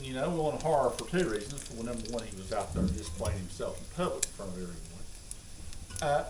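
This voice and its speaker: A middle-aged man with a Southern US accent, telling comic anecdotes in a rambling, folksy, exaggerating way. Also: fairly high pitch. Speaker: storyteller on a home cooking vlog. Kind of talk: comic anecdote, casual chat. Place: home kitchen with food sizzling. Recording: roomy, distant mic, noisy kitchen.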